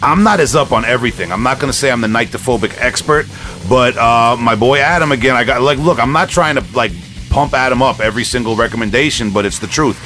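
A man's voice: medium pitch, fast, bright, forceful tone. Rambling male podcast host over music. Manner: rambling, enthusiastic fan talk. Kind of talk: casual music talk. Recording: music playing behind the voice.

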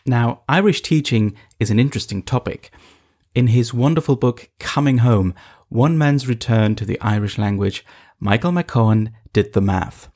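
A man from eastern Germany narrating a reported feature in a measured, scripted way. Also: medium pitch, darkish tone. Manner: measured, storytelling delivery.

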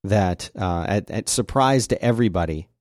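A man's voice: lowish voice, expressive, normal speed, a little mellow, dry recording, clean studio sound. A man co-hosting a podcast in casual chat, rambling with frequent uhs and restarts. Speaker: podcast co-host.

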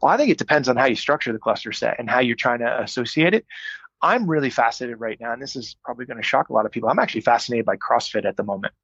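A man's voice: mid-range voice, lively intonation, racing, fairly bright tone, slightly rough, no room sound, lo-fi sound. A middle-aged man, a strength coach, answering interview questions in a thoughtful, explanatory way with frequent fillers. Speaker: strength coach, podcast guest.

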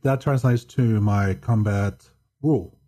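A man guesting on a podcast, talking in a deadpan monotone and often stopping to restart his sentences. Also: lowish voice, a little slow. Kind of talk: casual chat.

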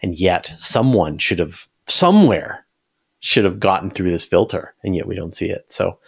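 A man's voice: low-pitched, animated, moderate pace, gravelly, breathy edge. A man talking casually about science as an interview guest, emphatic and repeating words to drive points home.

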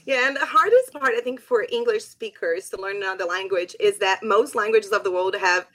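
A woman's voice: mid-range voice, fairly quick, fairly bright tone. A woman whose first language is Portuguese, a podcast guest and Hebrew teacher, telling stories animatedly in casual conversation.

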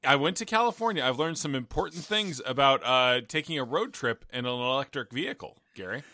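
A tired man hosting a podcast, chatting casually on a gaming headset and trading dry, deadpan jokes with his co-host. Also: medium pitch, brisk, dry recording. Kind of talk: casual chat.

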